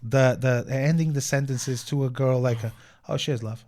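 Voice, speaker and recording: A man from Portugal speaks English with a clear Portuguese accent, his voice warm and mellow. He talks in an animated, casual way as a guest, often repeating a word while hunting for the next one. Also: medium pitch, normal speed. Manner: animated, repeats words while searching for them. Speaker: guest musician. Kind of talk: casual interview chat.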